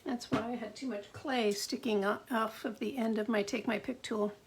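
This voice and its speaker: A middle-aged woman with a gravelly voice, chatting and thinking aloud through a live card-making demo at her craft desk. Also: fairly high pitch, steady tone, moderate pace.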